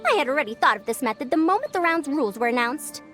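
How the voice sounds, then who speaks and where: High-voiced, haughty scholar. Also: female voice, very animated, quick pace, pushed and bright, soft background music, exaggerated voice. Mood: smug. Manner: haughty, lecturing, old-fashioned phrasing. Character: proud, youthful-sounding female scholar who talks like an elder. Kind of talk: animated video game voice acting.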